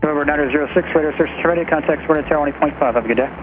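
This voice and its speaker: A man's voice: on the high side, very fast, narrow, tinny audio, over music. A man working as an air traffic controller, giving clipped, procedural and courteous instructions over aviation radio.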